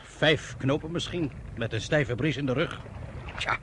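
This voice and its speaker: An actor playing a calm, matter-of-fact male shipowner in a radio drama, speaking slowly. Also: medium pitch, background noise, lightly acted. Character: calm shipowner, unhurried man. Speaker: ship owner.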